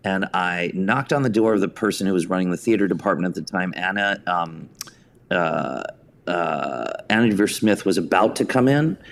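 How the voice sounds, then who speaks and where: A man's voice: low-pitched, slight background noise. An American man in his fifties telling personal anecdotes in an interview, with a self-deprecating, storytelling manner. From suburban New York, United States. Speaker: podcast guest, theater writer and director.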